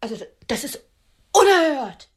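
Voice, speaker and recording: A woman's voice: high voice, big pitch swings, unhurried. An actress playing a wounded, indignant woman who longs for romance, dramatic and reproachful in a radio play. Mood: scornful.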